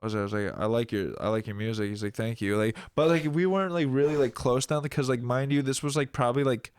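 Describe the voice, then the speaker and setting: A man's voice: medium pitch, fast, clean tone, no room sound. A young man with a stuffy nose, chatting casually as a podcast guest, rambling with lots of "like" and casual swearing. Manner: rambling, heavy use of "like", swearing. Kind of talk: casual chat.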